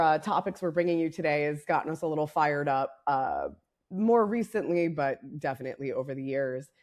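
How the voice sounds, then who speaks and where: A woman with a raspy, worn voice co-hosts a podcast, speaking somewhat low for a woman. Her talk is casual and candid, building into fired-up rants with frank swearing. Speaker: podcast co-host.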